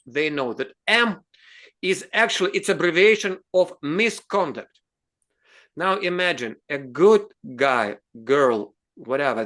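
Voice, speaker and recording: A man with a somewhat high-pitched voice and a Russian accent explains things in English, his second language. He speaks earnestly and haltingly, breaking off into restarts and fillers before pressing his point with emphasis. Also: a bit bright. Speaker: host. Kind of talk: explanatory video talk.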